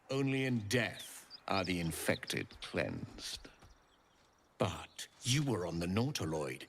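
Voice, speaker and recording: A menacing alien knight commander in a fantasy game. He speaks slowly and imperiously, with sweeping swings of pitch and silky scorn. The delivery is theatrical and merciless. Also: a bit bright, slight background noise, exaggerated voice. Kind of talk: dramatic fantasy video game acting.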